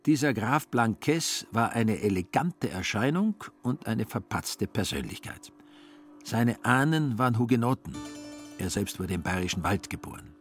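A man narrating a literary radio play in a measured, dryly ironic way. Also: lowish voice, animated, soft background music.

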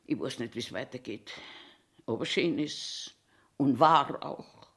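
A woman's voice: very deep voice, slow pace, exaggerated voice. A woman in her eighties with a Bavarian accent, playing a cranky, eccentric old washroom attendant grumbling to herself in a theatrical monologue. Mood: brooding. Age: 80s. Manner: grumbling self-talk, swinging between scolding and muttering. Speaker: actor.

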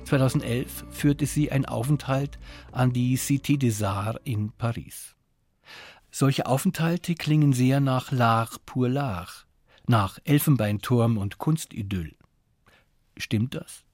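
A man's voice: mid-range voice, slow pace, a little mellow, dry recording, studio quality, soft background music. A man presenting and interviewing for radio in scripted narration and questions.

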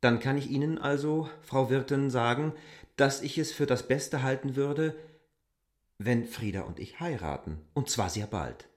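An actor playing an earnest, stubborn land surveyor, speaking in a measured, formal, insistent way in a literary radio drama. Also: male voice, medium pitch, expressive, natural voice.

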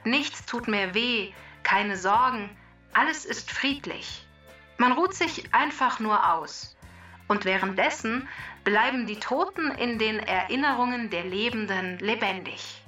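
Bright, high-voiced know-it-all talking database. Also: female voice, a little slow, far from the mic, soft background music. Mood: confident, musing. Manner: theatrical, emphatic, addressing children directly. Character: immortal talking database, female quiz-giving know-it-all machine. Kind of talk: scripted explaining and quizzing for children.

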